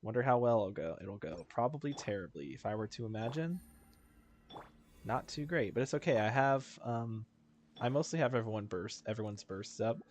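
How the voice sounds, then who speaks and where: A man's voice: mid-range voice, lively intonation, moderate pace, a little hiss or hum. A man giving casual gameplay commentary, rambling and thinking aloud as he plays. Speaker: video game streamer.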